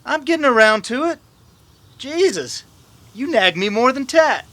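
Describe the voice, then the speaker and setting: A man's voice: very high-pitched, lively intonation, a little slow, sharp tone, no room sound, heavily acted. A man playing a stubborn, easygoing cowboy sidekick in a western radio drama, plain-spoken and a little defensive. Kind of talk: old-time western radio drama acting.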